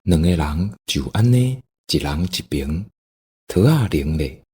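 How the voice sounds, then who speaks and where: A man's voice: low-pitched, lively intonation, measured pace, mellow, slightly rough. A man with a Taiwanese accent narrating a scripted folk story as the storyteller.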